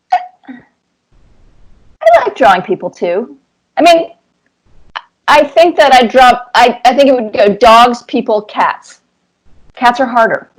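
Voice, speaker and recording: A middle-aged woman answering interview questions conversationally, with thoughtful, deliberate phrasing. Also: on the high side, rough recording. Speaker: interview guest, cartoonist.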